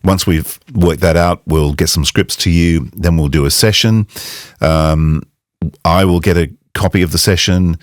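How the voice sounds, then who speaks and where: A man's voice: low voice, steady tone, a little slow, no room sound, clean studio sound. A man in his sixties, a voiceover professional, explaining his work in an informal, plain-spoken conversational way. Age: 60s. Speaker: voiceover artist and demo coach.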